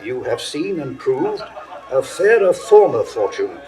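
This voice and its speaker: A solemn male soothsayer who reads palms, his verse slow and grave. The pitch sits in an ordinary middle range. Music plays under this vintage studio recording. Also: big pitch swings. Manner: grave, measured stage verse. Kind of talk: 1960s classical stage audio drama.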